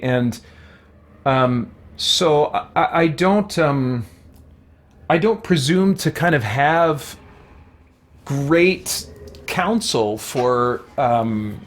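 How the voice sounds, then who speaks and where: A man hosting a casual livestream, speaking at a medium pitch in a voice worn from long talking. He is earnest and reflective, working out his answers aloud and doubling back to rephrase. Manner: earnest, reflective, thinks aloud with self-corrections. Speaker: host, video game content creator. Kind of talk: casual livestream talk, answering viewer questions.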